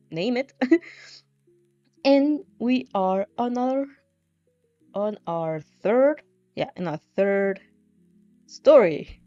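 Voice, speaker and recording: A woman casually chatting on a livestream as she reads fan fiction, halting and repeating herself with little giggles. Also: fairly low pitch, warm tone, clear, resonant tone. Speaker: streamer reading fan fiction aloud. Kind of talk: casual livestream chat and read-aloud. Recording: muffled, roomy home recording with music.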